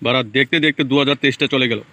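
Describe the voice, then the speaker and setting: A man's voice: mid-range voice, steady tone, racing, pushed and bright, no echo, close to an everyday voice. A man voicing a swaggering, foul-mouthed, commanding village gang boss in a comic cartoon. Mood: boisterous. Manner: crude, commanding.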